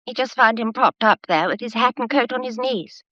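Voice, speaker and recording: A woman's voice: on the high side, brisk, bright, forceful tone, dry recording. An actress playing a plucky, anxious young woman, earnest and hesitant, in a radio mystery drama. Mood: guarded. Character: plucky, anxious young upper-class lady keeping her head. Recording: dull, muffled lo-fi audio.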